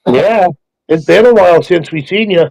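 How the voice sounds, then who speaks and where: A man's voice: high voice, expressive, normal speed, gravelly, darkish tone, no room sound, poor audio. A man making casual remarks in conversation. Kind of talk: casual chat.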